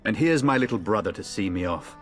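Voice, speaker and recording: A man's voice: on the low side, animated, brisk, long reverb tail, lightly acted. A man playing a confident, affectionate warrior father in a video game. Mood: gentle, confident. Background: music.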